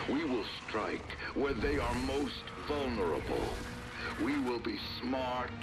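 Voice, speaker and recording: An actor playing a grave, resolute military commander in dramatic, emphatic game narration. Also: male voice, mid-range voice, very noisy. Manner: solemn, emphatic. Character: grave, resolute male military commander rallying his fighters. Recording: loud music under the voice.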